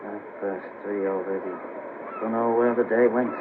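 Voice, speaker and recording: A man's voice: lowish voice, steady tone, moderate pace, lightly acted. An actor playing a blunt, hard-up, henpecked married father who moans in colloquial speech, in a 1970s radio play. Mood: blunt, fed up. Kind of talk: 1970s radio drama acting. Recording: very noisy, tinny 1970s radio cassette.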